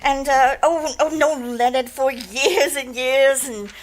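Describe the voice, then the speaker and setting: A woman's voice: sits high, slow, a little hiss or hum. A woman acting an anxious, ladylike wealthy client in a radio drama, stammering and repeating her words. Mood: flustered.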